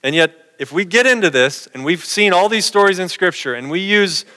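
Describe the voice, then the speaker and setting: A man's voice: sits high, animated, normal speed, sharp tone, smooth, big-room sound. A middle-aged man preaching a sermon in a conversational way, posing questions to his listeners.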